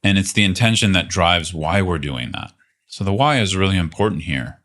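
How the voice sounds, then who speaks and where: A man leading a guided meditation as a teacher, speaking gently and unhurriedly with long pauses. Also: low-pitched, animated.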